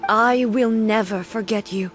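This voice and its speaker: A woman plays an ancient warrior goddess who rules her nation in a video game. Her voice is medium in pitch, formal and measured, carrying grief and firm resolve. Music plays underneath. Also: natural voice. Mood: solemn. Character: solemn immortal warrior goddess ruler, grieving yet resolute. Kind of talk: video game voice acting, dramatic dialogue.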